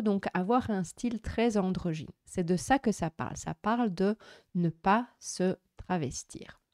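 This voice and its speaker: A woman hosting an explanatory religious vlog, speaking in a measured, didactic way. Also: mid-range voice, mellow, no room sound, studio quality. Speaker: host answering viewer comments.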